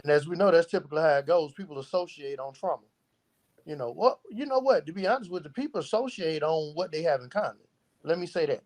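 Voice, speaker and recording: Higher-voiced middle-aged male guest. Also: lively intonation, quick pace, a little mellow. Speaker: interview guest, founder of a youth organisation. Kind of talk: casual reflective interview talk.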